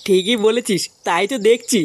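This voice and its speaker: A man's voice: high-pitched, normal speed, very noisy, close to an everyday voice. A man voicing an impatient, bossy, foul-mouthed village pal in an amateur comedy cartoon.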